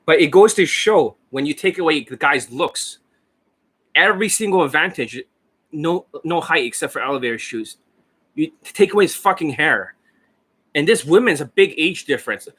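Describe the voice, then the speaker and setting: A man coaching about dating who talks in a brash, profane, rambling way, hoarse and losing his voice, with coughs between lines. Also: fairly high pitch, lively intonation, moderate pace, fairly bright tone. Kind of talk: casual monologue over a screen share. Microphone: desk microphone.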